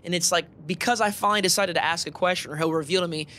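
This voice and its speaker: A man with a bright, forward voice chats informally as an air traffic controller recounting his work. He slips into quoted exchanges and slang as he explains. A faint rumbling noise sits behind him. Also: racing. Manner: animated, informal, uses slang and quoted dialogue. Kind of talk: casual conversational storytelling, acting out radio exchanges.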